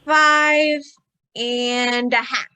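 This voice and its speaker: A woman's voice: on the high side, fairly even intonation, bright, forceful tone, smooth, full character voice. A woman playing an eager, hesitant five-year-old boy in a radio drama. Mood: shy, excited. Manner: slow, hesitant, childlike.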